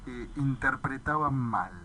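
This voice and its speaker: A man with an Argentine Spanish accent hosting a conversational radio discussion, adding measured remarks and checking with tag questions. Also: medium pitch. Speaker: radio host. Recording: faint background noise on radio audio.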